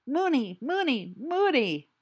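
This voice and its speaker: A panicky teenage boy, high and hissing as he pleads with his friend to wake up, as a woman puts him on. His whispers keep jumping upward in pitch, tight and urgent. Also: very animated, takes it slowly, exaggerated voice. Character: frightened teenage boy wizard, jumpy and panicky.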